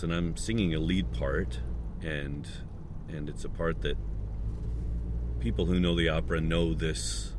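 A man with a very low, slightly hoarse voice talks casually about himself, stopping and restarting often. Steady noise sits clearly behind him, like traffic near a road. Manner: halting, with many restarts and fillers. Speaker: opera singer. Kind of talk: casual spoken reflection.

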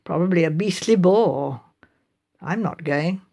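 A sardonic young Englishman, played by a woman reading an audiobook, talks in an offhand drawl. He sounds bored and low-key, pitched a little down. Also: measured pace, vocal fry, mellow. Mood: grumpy, bored.

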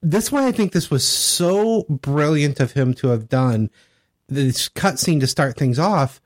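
A man's voice: fairly high pitch, animated, unhurried. A man co-hosting a casual podcast discussion about tabletop gaming, explaining his points from his own experience. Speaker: podcast co-host. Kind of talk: casual discussion of tabletop role-playing games.

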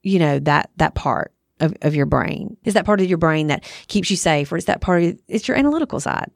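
A woman podcast host with a low voice and plenty of vocal fry. She talks casually and frankly, working out her thoughts aloud. The sound is dry and close. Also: animated, fairly quick, dry recording. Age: 30s to 40s. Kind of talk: casual conversational podcast chat.

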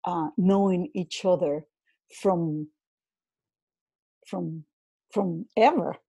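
A woman with a Venezuelan accent, speaking English as her second language, talks slowly and thoughtfully as a guest coach on a podcast. Her voice is low-pitched, mellow and a little husky. The sound is dry and close. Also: no room sound. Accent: Venezuelan Spanish. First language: Spanish. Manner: slow, reflective, with many fillers and repeats. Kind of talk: casual interview conversation.

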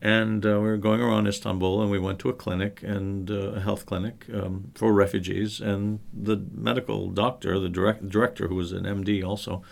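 A man's voice: fairly low pitch, little pitch movement, a little fast, vocal fry, few pauses. A middle-aged man, a researcher telling anecdotes in a thoughtful, conversational way during a panel discussion.

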